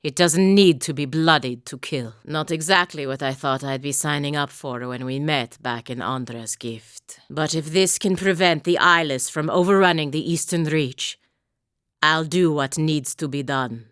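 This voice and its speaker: Low-voiced, proud warrior woman. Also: fairly bright tone, smooth, no room sound, studio quality. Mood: resolute. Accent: Valian, a lightly Italian-sounding fantasy accent. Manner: formal, measured, wry. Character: proud, stern, dutiful female warrior in service to dukes. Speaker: video game voice actor. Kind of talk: scripted game dialogue, voice lines and barks.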